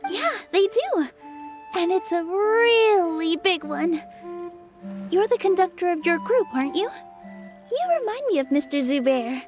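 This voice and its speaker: A young forest fairy from a video game, very high and girlish in pitch. She speaks politely and soothingly, with sincere encouragement, over faint music. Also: lively intonation, measured pace. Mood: friendly, curious.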